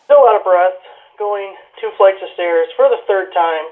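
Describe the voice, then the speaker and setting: A man's voice: high-pitched, normal speed. A man talking casually to viewers as he tests a product, heard through an intercom speaker. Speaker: product reviewer. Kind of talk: casual test talk to viewers. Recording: tinny, phone-like intercom speaker sound.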